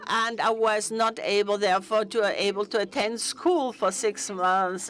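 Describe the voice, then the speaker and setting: A woman interpreting an interview into English on a conference stage, halting, with frequent fillers and restarts. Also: medium pitch, fairly bright tone. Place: conference hall.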